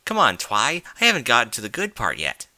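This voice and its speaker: A man's voice: medium pitch, wildly swooping pitch, a little fast, sharp tone, dry recording, full character voice. A man voicing a brash, boastful young female cartoon pony with an eager, pushy manner. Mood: eager, cocky.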